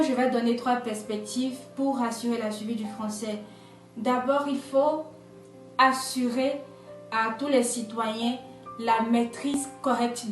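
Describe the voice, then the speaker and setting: Emphatic woman from Benin, distant echoey mic. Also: a little high. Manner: emphatic, lists points, checks in with "d'accord". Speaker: interviewee. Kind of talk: structured explanatory talk. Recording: echoey room, distant mic, faint music.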